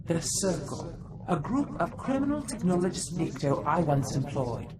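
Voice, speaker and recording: A woman's voice: on the low side, sing-song, normal speed, background noise. A woman voicing an earnest, formal, scholarly advisor in a game cutscene. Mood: measured, informative.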